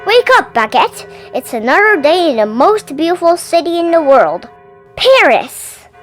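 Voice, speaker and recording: A woman acts out an eager little Parisian girl from a storybook, very high in pitch. She is curious, polite and adventurous, exclaiming her words over soft music. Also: big pitch swings, unhurried, full character voice. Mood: cheerful.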